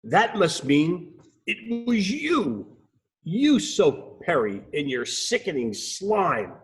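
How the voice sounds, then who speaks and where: A man voicing an earnest, excitable owl detective in a children's storybook, dramatic and self-important. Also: sits high, slow, pitched above the performer's own voice, exaggerated voice. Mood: accusing.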